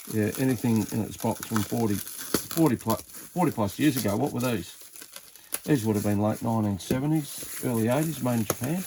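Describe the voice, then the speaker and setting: Man casually unboxing, noisy recording. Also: on the low side. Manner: informal, muttering to himself while handling things. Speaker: hobbyist reviewer of vintage CB radios. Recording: clear background noise, handling clicks.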